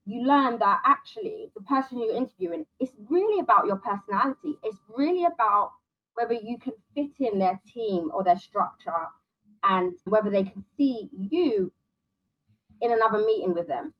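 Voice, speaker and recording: A woman as an interview guest, briskly listing options and giving direct career advice. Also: fairly high pitch, animated, muffled recording.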